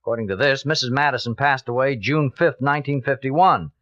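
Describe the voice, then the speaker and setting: Man acting bright, forceful radio insurance executive. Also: medium pitch, a little fast, close to an everyday voice. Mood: matter-of-fact. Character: amiable male insurance office executive. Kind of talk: 1950s radio drama acting. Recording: dull vintage radio recording.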